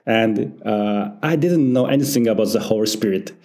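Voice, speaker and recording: A middle-aged Japanese man with a Japanese accent, a pastor answering interview questions in halting English full of pauses and small laughs. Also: fairly low pitch, warm tone. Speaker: church founder and senior pastor.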